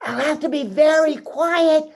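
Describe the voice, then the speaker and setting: A man's voice: extremely high pitch, unhurried, vocal fry, exaggerated voice. A man voicing an eager, curious, childlike puppet friend in a children's puppet show, full of exclamations and repeated words. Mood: surprised, apologetic.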